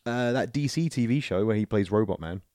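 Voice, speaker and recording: A man's voice: fairly low pitch, expressive, brisk, darkish tone, no room sound, studio quality. A man co-hosting a podcast, chatting casually about films in a sweary, joking, informal way. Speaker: podcast co-host.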